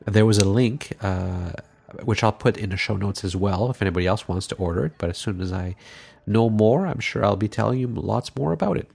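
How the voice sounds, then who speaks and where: A man hosting a podcast while congested from a cold, talking casually and haltingly with many pauses. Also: on the low side, gravelly, darkish tone, husky. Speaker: podcast host.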